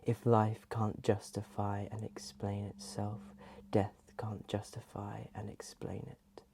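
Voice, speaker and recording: A soft, breathy ASMR host reading in a hushed voice that often slips into a whisper. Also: sits low, completely flat delivery, slow pace, background noise.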